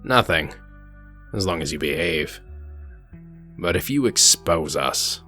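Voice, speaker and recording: Man acting very deep, menacing enforcer. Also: bright, forceful tone, exaggerated voice. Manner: curt, threatening, coolly controlled. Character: gruff, menacing wolf sheriff in human form, cold and commanding. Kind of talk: comic dub voice acting.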